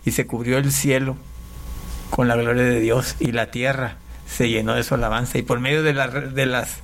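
A man from Panama, a pastor and guest, telling his faith story in conversation, halting with frequent restarts. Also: medium pitch, level tone, vocal fry. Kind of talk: conversational testimony.

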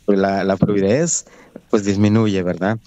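A man's voice: lowish voice, steady tone, mellow, dry recording, lo-fi sound. Earnest man. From Mexico. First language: Nahuatl. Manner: earnest, measured, with many fillers and restarts. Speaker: guest, Nahuatl language coordinator. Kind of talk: interview conversation.

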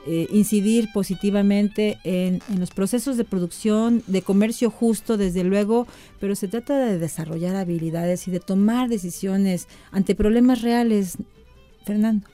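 A woman hosts an interview, chatty and effusive as she welcomes guests and sends greetings. Her voice is mellow and relaxed rather than bright. Soft music plays faintly behind her. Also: mid-range voice, moderate pace. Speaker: host. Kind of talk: interviewing guests on a farming show.

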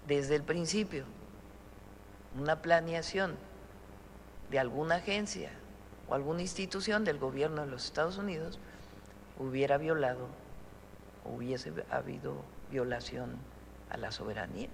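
A Mexican woman holding a press conference, answering questions in a measured, deliberate way with frequent pauses. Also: low-pitched, creaky, long reverb tail, slight background noise, rough recording.

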